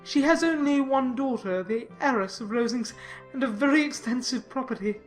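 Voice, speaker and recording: Woman playing a pompous, fawning clergyman, high-pitched. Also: normal speed, soft background music, natural voice. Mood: smug, reverent. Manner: pompous, wordy, formal. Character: pompous, fawning young clergyman, self-important and obsequious. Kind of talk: dramatized audiobook reading of classic novel.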